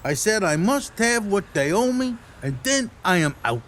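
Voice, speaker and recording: Man acting a hurt small-time crook, high-pitched, animated. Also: normal speed. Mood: pained, remorseful. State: hurt, pained. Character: wounded, remorseful small-time crook, a girl's father. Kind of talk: audio play dialogue.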